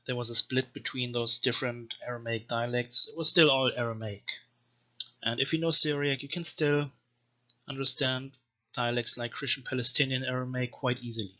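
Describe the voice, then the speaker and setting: A man giving an informal, scholarly language lecture in a deliberate, measured manner, as a teacher explaining and reading aloud. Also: medium pitch, fairly even intonation, sharp tone. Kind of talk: informal explanatory lecture with slow read-aloud text samples. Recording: dull, lo-fi microphone sound.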